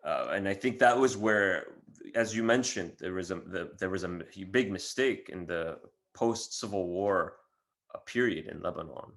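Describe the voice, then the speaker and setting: A man from Syria, a podcast host, speaking reflectively and haltingly, with frequent restarts. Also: deep voice, a bit bright. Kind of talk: podcast interview.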